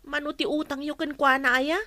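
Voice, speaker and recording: A woman's voice: sits high, level tone, brisk, pushed and bright, no echo. An actress with an Ilocano accent playing a kind, obliging younger village laundrywoman in a radio comedy drama. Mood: concerned. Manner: polite, obliging.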